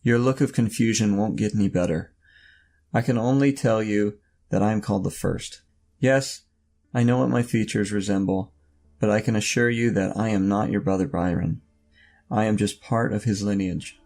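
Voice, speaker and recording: Man playing a gravelly, enigmatic older mystic. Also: fairly low pitch, a little mellow. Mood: cryptic, assured. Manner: solemn, cryptic, measured. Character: enigmatic older robed man, commanding, otherworldly mentor. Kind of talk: audio drama acting.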